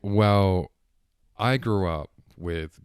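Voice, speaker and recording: A man's voice: sits low, drawn-out words, clear, resonant tone, no echo, clean studio sound. A man hosting a podcast, chatting casually and rambling with lots of "like" and restarts. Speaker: podcast host. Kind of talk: casual chat.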